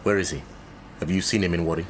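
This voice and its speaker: A man's voice: sits low, fairly even intonation, quick pace, slight background noise, close to an everyday voice. An actor playing a reserved engineer and father searching for his son in a radio drama, speaking in a terse, formal, guarded way. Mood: anxious.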